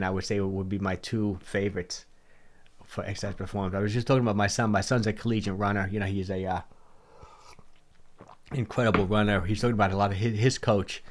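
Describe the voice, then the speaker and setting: A middle-aged man hosting a livestream, a little hoarse from a cold, answering viewer questions in a rambling, casual way and often repeating himself. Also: on the low side, steady tone, fairly quick, a little hiss or hum. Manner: rambling, repeats words, restarts sentences.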